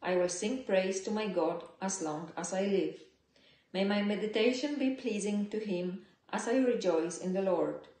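A woman's voice: medium pitch, normal speed, mellow. Middle-aged woman reading scripture, echoey room. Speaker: scripture reader. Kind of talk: read-aloud Bible passage. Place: living room, seated indoors. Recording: roomy indoor home recording.

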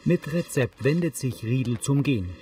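A man reading scripted narration for a TV series in a measured, matter-of-fact way. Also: mid-range voice, animated, dark tone, slight background noise. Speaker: audio description narrator. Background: music.

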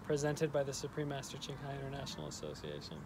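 A middle-aged man presenting an award, reading a formal letter in a measured way. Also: mid-range voice, big pitch swings. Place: outdoors at a farm. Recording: outdoor recording with background noise.

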